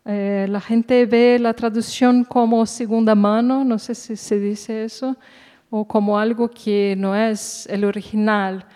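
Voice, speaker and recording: A woman, a native Portuguese speaker, talking unscripted as a guest author, haltingly searching for words. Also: on the high side, flat delivery, mellow, clear, resonant tone. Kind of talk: unscripted talk at a literary event. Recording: echoey hall reverb.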